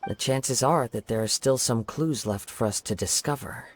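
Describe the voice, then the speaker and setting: A man voicing a calm young wandering samurai in a video game, speaking in a measured, thoughtful way. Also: medium pitch, slight background noise, lightly acted. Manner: measured, thoughtful and soft-spoken. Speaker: investigating hero.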